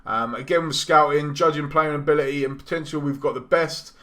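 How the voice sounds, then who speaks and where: Chatty gamer, slightly hoarse. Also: male voice, fairly high pitch, fairly even intonation, a little fast, fairly bright tone. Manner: chatty, rambling, with frequent ums and self-corrections. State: losing his voice. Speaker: gaming YouTuber. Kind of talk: casual gameplay commentary.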